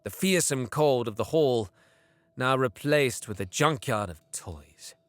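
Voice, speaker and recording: An actor playing a bitter young alien prince, narrating an audio drama deliberately and sometimes dropping into hissed whispers. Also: male voice, mid-range voice, lively intonation, dry recording, natural voice. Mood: brooding.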